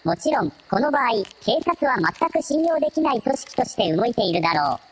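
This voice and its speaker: Woman voicing husky, matter-of-fact analytical assistant. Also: sits high, big pitch swings, fairly quick. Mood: analytical, flat. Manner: formal, clipped, matter-of-fact.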